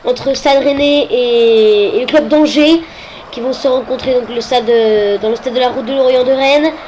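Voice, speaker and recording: High-voiced woman with a cold, hesitant. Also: moderate pace, darkish tone, slight background noise, rough recording. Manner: hesitant, many filler sounds and restarts. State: has a cold. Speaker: video game match commentator. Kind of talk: casual football game commentary.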